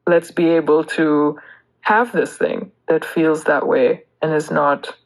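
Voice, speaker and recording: A speaker talks in a casual, conversational way. The voice has a middling pitch and moves very little up or down. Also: level tone, slow pace, vocal fry, poor audio.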